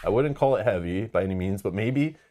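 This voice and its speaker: A man's voice: fairly low pitch, expressive, fairly quick, mellow. A man hosting a live board game stream, talking in a casual, rambling way with lots of fillers and frank asides. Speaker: board game channel host.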